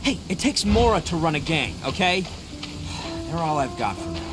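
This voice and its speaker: A man's voice: a little high, wildly swooping pitch, normal speed. A cocky, boisterous young oni gang boss for a video game. His delivery swings widely and animatedly, full of swagger but good-hearted, with prominent music behind him. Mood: sheepish, sincere. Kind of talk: video game character acting.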